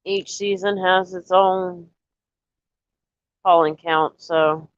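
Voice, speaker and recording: A woman chatting casually to viewers on a live stream, a little nasal and stuffy from allergies, rambling in a relaxed way. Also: on the low side, takes it slowly, dry recording, lo-fi sound. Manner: rambling, relaxed, talks straight to chat.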